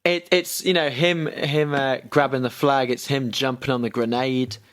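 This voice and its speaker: A man's voice: medium pitch, steady tone, moderate pace, fairly bright tone. A man co-hosting a podcast from home over an internet call, describing film moments hesitantly with fillers. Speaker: podcast co-host. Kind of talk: casual chat about a film.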